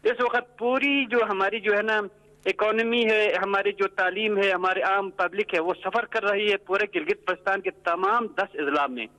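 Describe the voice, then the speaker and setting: Guy phoning in, high bright forceful voice, polite but pushing his complaints. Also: normal speed, rough recording. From Gilgit, Pakistan. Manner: respectful, insistent, often says sir. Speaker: caller to a phone-in. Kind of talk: putting questions and complaints. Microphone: telephone call.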